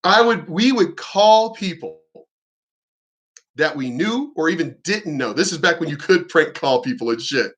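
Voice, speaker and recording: High, bright-voiced man ranting crudely. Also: expressive, a little slow. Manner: combative, profane, mocking. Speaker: reaction commentator. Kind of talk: ranting reaction commentary.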